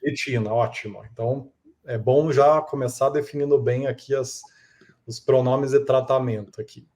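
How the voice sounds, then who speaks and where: Mellow-voiced Brazilian man hosting. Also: mid-range voice, steady tone, normal speed. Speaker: podcast host, interviewer. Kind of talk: friendly interview hosting.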